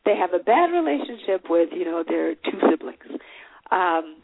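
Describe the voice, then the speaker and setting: A woman's voice: on the low side, very animated, moderate pace, vocal fry, slightly rough. A woman from Zimbabwe hosting a show, giving dating advice in an earnest, conversational way with many fillers. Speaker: show host. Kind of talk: solo advice talk. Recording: phone-like line with faint noise.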